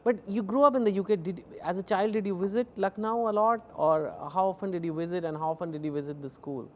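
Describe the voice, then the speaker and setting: A man's voice: sits high, quick pace, a little hiss or hum. A man with an Indian accent hosting a conversational interview in an informal, playful way, repeating things for emphasis. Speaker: talk show host. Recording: echoey hall.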